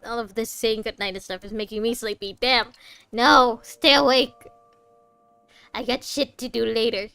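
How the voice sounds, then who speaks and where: A woman's voice: a little high, fairly even intonation, brisk, gravelly, hardly any pauses, dry recording. A young adult woman streaming a game, chatting casually and reacting in a playful, teasing way. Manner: playful, teasing, mild swearing. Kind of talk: casual let's play commentary, reading along and reacting.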